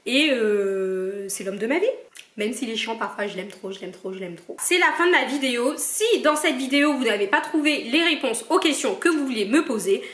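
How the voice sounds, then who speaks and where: Young woman, from Cameroon, vlogger, chatty, playful, fairly high voice, echoey room. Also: lively intonation, normal speed, fairly bright tone. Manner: chatty and playful, reads out questions and teases viewers. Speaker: YouTuber answering viewer questions. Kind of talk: casual Q&A vlog.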